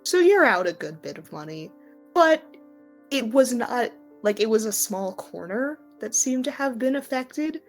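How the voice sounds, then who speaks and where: A woman acts as game master for a tabletop role-playing game. She narrates slowly to her players. Faint music plays underneath. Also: on the high side, big pitch swings.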